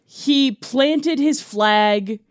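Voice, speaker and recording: A woman in her twenties chatting casually as a podcast host, pitching an idea in an emphatic, sweary way full of "like". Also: extremely slow, clean tone, no echo, clean studio sound. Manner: emphatic, sweary, uses "like" heavily.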